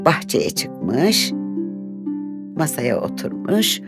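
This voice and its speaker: Low-voiced woman narrator, loud music behind. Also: sing-song, very slow. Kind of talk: scripted children's fairy-tale narration.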